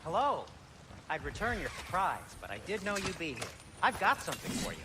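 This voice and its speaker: An actor playing a fussy, squeamish dwarf smith who talks in a prim, fretful way, in a video game cutscene. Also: male voice, high-pitched, wildly swooping pitch, brisk. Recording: game audio with clear ambient noise.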